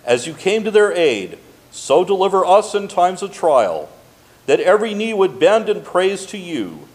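A man with a fairly high voice leads prayer as a pastor. His pitch moves in animated rises and falls. The delivery is solemn and liturgical, set out in measured, formal phrases with pauses between them. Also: low-quality recording. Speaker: pastor leading congregational prayer. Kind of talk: liturgical prayer and blessing, read aloud.

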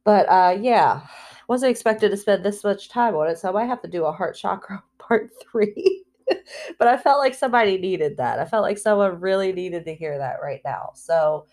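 A woman talking candidly in a personal spiritual vlog, rambling and heartfelt, with fillers, restarts and soft laughs between phrases. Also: mid-range voice, lively intonation, normal speed. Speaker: spiritual vlogger.

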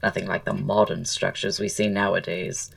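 A flamboyant Victorian aesthete with a very low voice full of creak. He speaks in florid, languid, theatrical phrases with teasing wit. It is audio drama, with the voice set back from the microphone. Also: level tone, normal speed, distant mic. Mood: wistful, opinionated.

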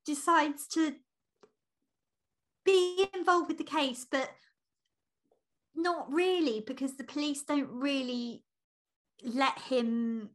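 A woman co-hosting a podcast, chatting casually about books in an expressive, chatty, giggly manner. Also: sits high, slow pace, pauses often. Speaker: podcast co-host. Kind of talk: casual book discussion chat.

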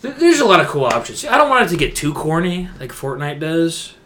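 A man's voice: a little high, very animated, fairly quick, bright, forceful tone, a little hiss or hum. A young adult man co-hosting a podcast, talking informally and slangily in casual conversation. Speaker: podcast co-host. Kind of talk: casual chat.

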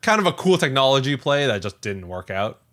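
A man with a Canadian accent and a bright, crisp-edged voice of middling pitch. He talks casually as a podcast co-host, feeling his way through sentences with plenty of hesitations and filler words. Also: sing-song, a little fast. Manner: hesitant, rambling, frequent "like" and "you know". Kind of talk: casual tech news chat.

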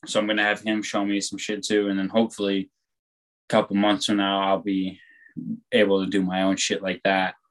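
A young man talks in a relaxed, rambling way, dropping swear words easily. His voice sits a bit low and carries loud. It sounds like an easygoing chat between friends. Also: a little fast, low-quality recording. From born on the West Coast, raised on the East Coast of the US. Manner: rambling, laid-back, swears freely. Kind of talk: casual chat.

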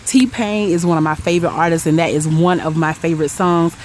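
A woman giving an upbeat, warm-hearted spoken shout-out in a casual message. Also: fairly low pitch, a little fast, a little hiss or hum.

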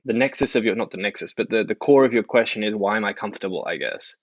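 Man, thirties, Australian accent, low pitch, casual interview guest, dry lo-fi recording. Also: lively intonation, quick pace, dry recording. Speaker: podcast guest, investor.

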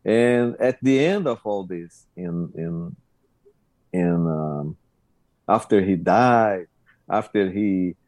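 Man, podcast co-host, casual chat, dark mellow tone, dry close sound, halting with repeated words. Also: low voice, no echo.

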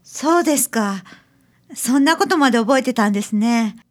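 A woman's voice: fairly high pitch, expressive, moderate pace, close to an everyday voice. A woman in a radio drama playing a polite, wistful young bride-to-be. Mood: reserved, flustered. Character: polite, wistful young bride-to-be, once a lonely transfer student. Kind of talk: scripted radio drama acting.

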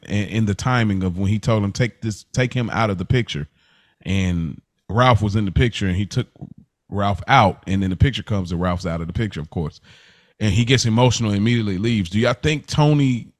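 A man hosting a podcast in a casual recap style, slangy and excitable, often repeating himself for emphasis. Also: on the low side, dry recording, clean studio sound. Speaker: podcast host.

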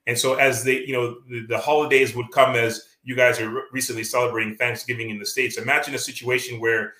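A Canadian man with a Canadian accent talks earnestly as a guest author, stopping often on fillers and restarts. His voice is bright and forceful. It sounds echoey, like an open room. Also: medium pitch, little pitch movement, fast talker. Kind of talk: reflective interview talk.

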